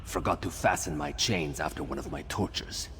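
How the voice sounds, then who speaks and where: An actor playing a gruff escaped werewolf, wary but grateful, talking tersely in a video game. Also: male voice, extremely low pitch, moderate pace, a bit bright. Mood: grave, businesslike. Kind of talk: video game quest dialogue. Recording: game audio with long reverb, faint ambient noise.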